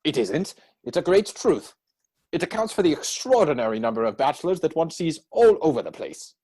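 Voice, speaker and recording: Man playing arch, husky-voiced idle young gentleman. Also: on the high side, big pitch swings, brisk, heavily acted. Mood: witty, pompous. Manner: mannered, arch, theatrical delivery. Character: idle, witty upper-class young bachelor, playful and self-satisfied. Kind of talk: dramatic reading of a period stage comedy.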